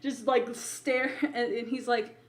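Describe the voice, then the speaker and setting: High-voiced, playful woman chatting on a podcast. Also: steady tone, slow. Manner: playful, teasing, often says "like". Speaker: podcast co-host. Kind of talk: casual chat, animated storytelling.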